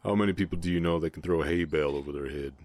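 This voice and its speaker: A man voicing a big, gentle, guarded orc ranch hand with a Southern US accent, speaking politely and haltingly in an intimate Western ASMR roleplay. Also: very deep voice, no room sound. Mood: resigned, plainspoken. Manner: halting, polite, soft-hearted drawl with folksy turns.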